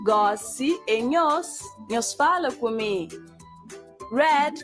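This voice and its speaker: A patient, cheerful cartoon schoolteacher acted by a woman with a Cape Verdean accent and a fairly high voice. She gives instructions very slowly, with encouraging questions for young children. Light children's music plays underneath. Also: animated, fairly bright tone, heavily acted. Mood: encouraging, instructive. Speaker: teacher. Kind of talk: scripted children's language lesson.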